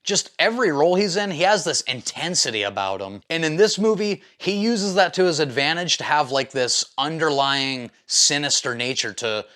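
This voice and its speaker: Enthusiastic man reviewing movies, higher-pitched voice. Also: lively intonation, moderate pace, few pauses, sharp tone. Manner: informal, enthusiastic, slangy. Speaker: movie reviewer, video host. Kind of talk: casual opinionated film review.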